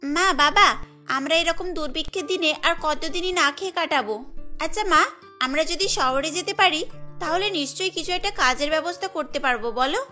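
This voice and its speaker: A woman playing a poor, hungry village girl in a cartoon. Her voice is very high and bright, earnest and pleading, with music underneath. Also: moderate pace. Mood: earnest, urging. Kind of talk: cartoon story dialogue.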